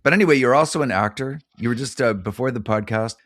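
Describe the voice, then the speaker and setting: A man's voice: medium pitch, fast talker, a bit bright, no room sound, clean studio sound. A middle-aged man hosting a podcast, chatting casually in a jokey, self-deprecating way. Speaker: podcast host. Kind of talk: casual chat.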